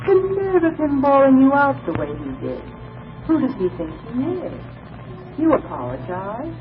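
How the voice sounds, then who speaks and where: A woman's voice: sits high, huge pitch swings, normal speed, narrow, tinny audio, heavily acted. A woman acting as a young woman's fretful, insistent inner voice in 1940s radio drama style. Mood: indignant, soothing. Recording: distant, thin old radio, music behind.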